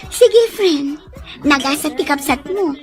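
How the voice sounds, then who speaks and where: A woman's voice: high voice, lively intonation, unhurried, faint music behind. A woman playing a playful, nosy, encouraging friend in a drama, speaking with an Ilocano accent. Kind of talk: acted dialogue in an Ilocano drama.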